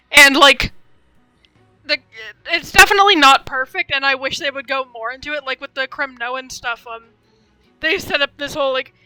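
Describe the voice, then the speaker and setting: Female game streamer, young adult: high, piercingly bright, chatty and rambling, dry sound. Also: no echo, rough recording. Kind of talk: casual live game commentary.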